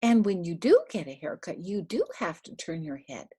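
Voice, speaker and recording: Older woman, husky, animated, reading to toddlers. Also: lowish voice, moderate pace. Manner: simple, instructive, asking the child questions and giving prompts. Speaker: storyteller for young children. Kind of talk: interactive picture-book read-aloud for toddlers.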